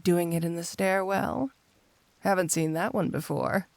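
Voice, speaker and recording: A woman's voice: lowish voice, unhurried, vocal fry, darkish tone, no echo. A woman playing a flirty, smug supervillain cat burglar in an intimate ASMR roleplay, teasing and coaxing the listener with playful confidence.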